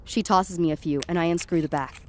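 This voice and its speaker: A woman's voice: lowish voice, steady tone, a little fast, slight background noise, lightly acted. A woman playing a frightened, tripping young woman who narrates a supernatural night in the first person, tense and dramatic, in an audio horror drama. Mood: dazed, uneasy.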